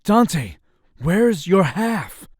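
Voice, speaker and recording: An actor playing a grumpy, cautious young crusader in a fantasy audio drama, blunt, nagging and exasperated. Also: male voice, sits high, big pitch swings, extremely slow, breathy edge, heavily acted. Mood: irritated. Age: young adult.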